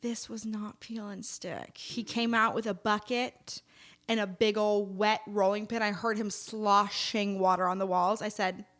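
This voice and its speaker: A woman's voice: medium pitch, steady tone, unhurried, fairly bright tone. A woman co-hosting a casual chat podcast from a home set, talking in a dry, teasing way. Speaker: podcast co-host.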